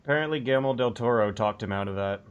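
A man at a middle pitch hosts a casual livestream chat from his home room. He speaks over a video call, musing aloud and restarting his sentences as he thinks. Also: fast, clear, resonant tone, highs cut off. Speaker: podcast host.

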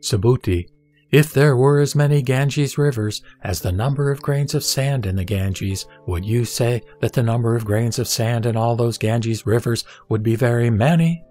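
A man's voice: mid-range voice, normal speed, dark tone, soft background music, natural voice. A man playing the Buddha in a scripture audio drama, a serene teacher speaking formally and instructively. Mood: solemn questioning. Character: the Buddha, serene authoritative male teacher addressing his disciple.

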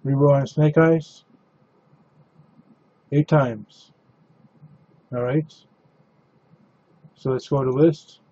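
A man hosting a live trading card break, announcing cards and teams in a steady, matter-of-fact way. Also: mid-range voice, extremely slow, pauses often, highs cut off.

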